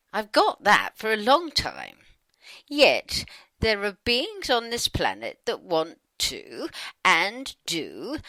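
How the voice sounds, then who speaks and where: A woman reading her side of a scripted dialogue in a conversational, playful way, with emphatic pauses. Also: lowish voice, a bit bright, no echo. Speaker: channeler reading her own questions.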